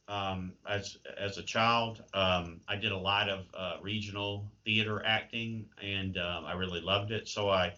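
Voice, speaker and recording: Deep-voiced, thoughtful man being interviewed. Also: bright, forceful tone, muffled recording. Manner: hesitant, thoughtful, wry. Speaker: playwright and creative writing professor, interview guest. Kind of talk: conversational interview answers.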